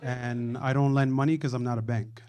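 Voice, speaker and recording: A man's voice: mid-range voice, steady tone, moderate pace, a little mellow. A man on a live panel, reflectively telling a personal story and often restarting his phrases.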